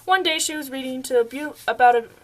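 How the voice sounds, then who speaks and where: A woman's voice: high voice, fast. A young woman narrating a scripted fairy tale as storyteller, stumbling over words now and then as she reads.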